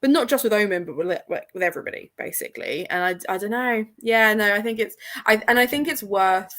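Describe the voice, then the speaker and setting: A woman's voice: mid-range voice, lively intonation, quick pace, fairly bright tone. A woman in a casual discussion of books, talking in an informal, slangy way. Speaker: participant in a live book readalong discussion. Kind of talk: casual chat about books.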